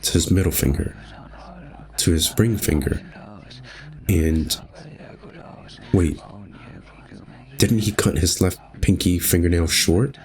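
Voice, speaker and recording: A man's voice: low-pitched, takes it slowly, long pauses, a little mellow. A man hosting a gaming video, reading visual novel narration aloud and adding casual commentary.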